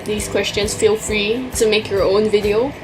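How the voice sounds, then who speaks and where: A woman's voice: medium pitch, steady tone, moderate pace, rough recording. Young singaporean girl chatting thru a tag video, singlish-y accent, music in the background. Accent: Singaporean English. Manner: chatty, answers questions from a list. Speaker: vlogger.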